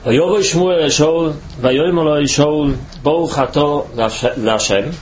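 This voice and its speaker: A man from Switzerland, not a native English speaker, giving a Torah lecture as a rabbi in halting, careful English. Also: fairly high pitch, a little hiss or hum.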